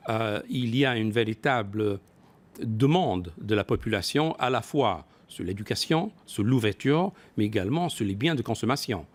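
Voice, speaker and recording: A man speaking as an expert guest in a broadcast business interview, often pausing to rephrase and repeat his words. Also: lowish voice, expressive. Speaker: business expert guest, former company executive.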